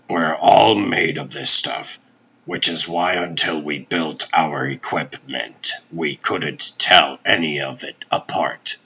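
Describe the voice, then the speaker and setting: A matter-of-fact male scientist, acted by a man in a comic dub. The voice is extremely low and raspy yet forceful, explaining slowly and plainly. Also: heavily acted, poor audio. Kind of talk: fan comic dub voice acting.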